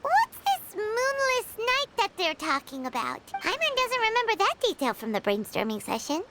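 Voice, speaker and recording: A woman voicing a small, childlike fairy companion in a video game, excitable and easily worried, with a squeaky voice. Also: moderate pace, loud music behind the voice, heavily acted. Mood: puzzled. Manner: refers to self in third person, exclamatory.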